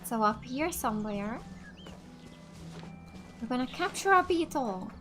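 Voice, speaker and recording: A woman's voice: a little high, lively intonation, a little slow. A woman from Finland, a native Finnish speaker, doing let's play commentary and thinking aloud as she plays. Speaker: gamer. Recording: game music behind the voice.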